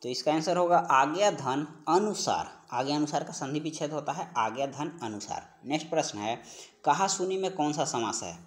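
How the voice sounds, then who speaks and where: A man's voice: fairly high pitch, animated, normal speed. A man teaching an exam-prep class, explaining practice questions and repeating key phrases as he goes. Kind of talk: instructional lecture, working through practice questions.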